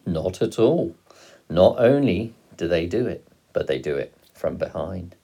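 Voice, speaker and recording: A man's voice: low-pitched, animated, unhurried, gravelly, dark tone, husky, heavily acted. A man voicing a roguish, gleeful father who tells stories with dramatic relish in an audiobook. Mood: mischievous, emphatic. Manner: exclamatory, relishing, dramatic delivery. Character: roguish, gleeful father, fond storyteller of poaching.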